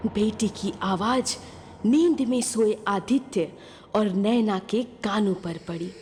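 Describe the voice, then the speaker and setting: A woman's voice: mid-range voice, takes it slowly, darkish tone, slight background noise. A woman narrating a scripted horror story in a dramatic storytelling style. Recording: long reverb, music behind.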